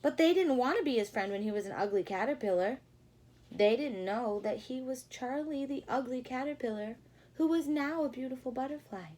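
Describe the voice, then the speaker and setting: A woman doing an expressive read-aloud for young children, pausing to put questions to them in a teacherly way. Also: a little high. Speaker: storyteller reading a picture book to children. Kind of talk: animated read-aloud with asides to young listeners.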